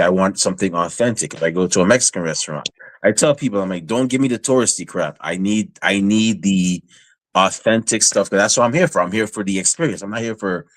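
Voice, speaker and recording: A man's voice: on the low side, a bit bright, dry recording. A man from Guyana hosting a casual podcast interview, hyped in his introductions and rambling with many fillers. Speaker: podcast host.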